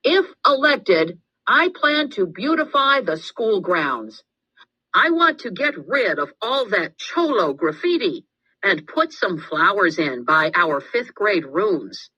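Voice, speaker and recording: Storybook schoolgirl candidate woman reader: earnest, declamatory, determined, pitched above the narrator, forceful edge. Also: very animated, takes it slowly, slightly rough, lo-fi sound, heavily acted. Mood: booming, determined. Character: earnest young girl giving a school campaign speech.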